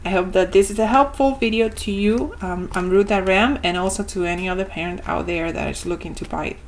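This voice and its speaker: A woman's voice: medium pitch, brisk, a little hiss or hum. A young woman from Argentina, a native Spanish speaker with an Argentine Spanish accent, showing a homeschool reading curriculum page by page in a chatty way, with many ums and restarts. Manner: chatty, with frequent ums and self-corrections. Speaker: homeschooling parent reviewing a curriculum. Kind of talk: casual product walkthrough.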